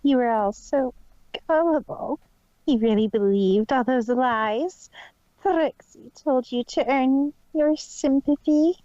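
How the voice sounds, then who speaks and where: A man voicing a boastful, cruel female magician pony in a theatrical, drawn-out manner for an audio story. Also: very high-pitched, mellow, dry recording, dull audio, voice pushed up. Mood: gloating, cruel. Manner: theatrical, drawn-out, speaks of self in third person.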